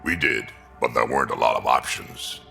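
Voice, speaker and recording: A gruff, elderly alien veteran for a video game. The voice is extremely deep and full of gravel, with a hard, forceful edge. He talks bluntly and wryly, over music. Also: moderate pace, full character voice. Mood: resolute. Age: older. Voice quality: very gravelly, rumbling. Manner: blunt, wry. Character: gruff old alien grandfather, scrappy colony veteran. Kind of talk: video game dialogue acting.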